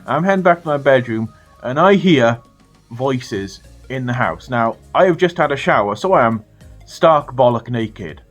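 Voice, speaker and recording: A British man telling a funny story to his viewers in a casual, animated way, swearing freely. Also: medium pitch, measured pace, highs cut off, faint music behind. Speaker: storytelling vlogger.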